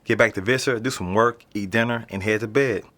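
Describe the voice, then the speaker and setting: A man's voice: lowish voice, a little fast, fairly bright tone, natural voice. A young man with an American accent playing a casual, slangy, streetwise teenage boy being interviewed in a naturalistic audio drama. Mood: nonchalant. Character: nonchalant streetwise teenage boy living in an apartment building.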